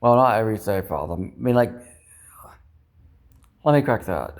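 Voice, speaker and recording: A man with a fairly low voice speaks in a loose, rambling vlog style. He circles back over his points and doubts himself as he goes, dropping in the odd mild swear word. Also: lively intonation, moderate pace. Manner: self-doubting, ruminating, mildly profane. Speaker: vlogger. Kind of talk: rambling casual vlog monologue.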